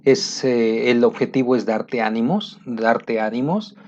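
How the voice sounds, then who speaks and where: A man from Latin America talks through a motivational language lesson at an easy conversational pace, with frequent pauses and fillers. His voice is mellow and relaxed, in a middle register. The recording sounds dull and muffled. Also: mid-range voice. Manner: conversational, pausing often with fillers. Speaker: English teacher.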